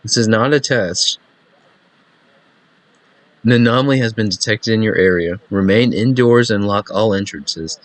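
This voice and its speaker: A calm, official announcer delivers a measured emergency warning in a horror game, read out by a man. The voice is fairly low and grave. The sound is dry and close. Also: no echo, lightly acted.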